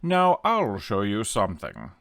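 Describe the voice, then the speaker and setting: Man as boastful storybook diesel, low-voiced, smug, wildly swooping intonation. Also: huge pitch swings, a little slow, creaky, a bit bright, exaggerated voice.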